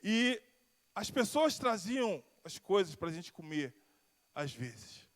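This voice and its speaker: A man with a Carioca accent preaches a sermon in a church, his voice sitting high for a man. He rises and sinks in volume, stretching out the words he wants to land, and a long hall reverb trails behind him. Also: sing-song, slow. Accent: Carioca Brazilian Portuguese. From Rio de Janeiro, Brazil. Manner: swells and drops in pitch and volume, drawing out key words. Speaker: pastor. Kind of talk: sermon with personal testimony. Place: church sanctuary.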